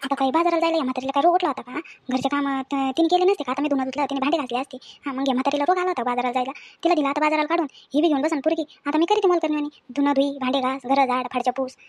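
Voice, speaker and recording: A woman playing a nagging, quick-tongued village wife full of grievances in an acted family story, scolding in a colloquial rural Maharashtrian accent. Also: high-pitched, a little mellow, close to an everyday voice. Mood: bitter grumbling.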